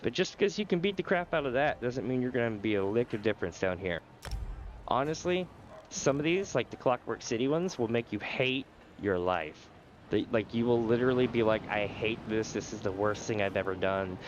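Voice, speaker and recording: A man's voice: medium pitch, animated, moderate pace, lo-fi sound, a little hiss or hum. A man with a sore throat and a nasal voice, casually explaining a game to viewers during a live stream. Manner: casual, explanatory, chuckles often. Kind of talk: live gameplay commentary.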